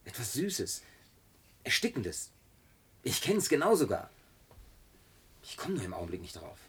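An actor dubbing an easygoing man in a film who talks in a dry, low-key way with wry humor. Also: wildly swooping pitch, a little slow, breathy edge, heavily acted. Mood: wary. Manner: dry, low-key, at times mock-formal like a waiter. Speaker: survivor in a film, dubbed.

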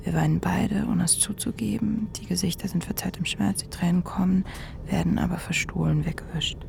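An actress playing the despairing, drained inner voice of a suicidal person in a radio drama, whispering in a hushed, fragile, intimate way. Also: female voice, on the low side, fairly even intonation, brisk, slight background noise. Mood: quiet grief. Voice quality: breathy, whispered.

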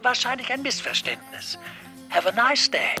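A courteous male superior in a radio drama. His voice is high and very bright, his manner formal, hesitant and anxious. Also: sing-song, normal speed, soft background music, exaggerated voice. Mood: evasive, polite.

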